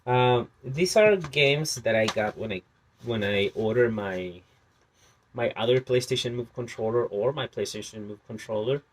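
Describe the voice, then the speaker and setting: A man's voice: mid-range voice, moderate pace. A young man whose first language is Spanish, hosting a casual vlog about his game collection and talking in a hesitant way with many fillers. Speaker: channel host.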